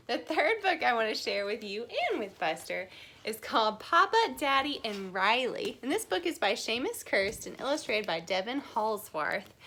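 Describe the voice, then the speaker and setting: A woman with a high, bright voice presents a storytime for young children. Her pitch swings widely as she encourages her little listeners and puts questions to them with exaggerated, animated delivery. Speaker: children's library storytime host.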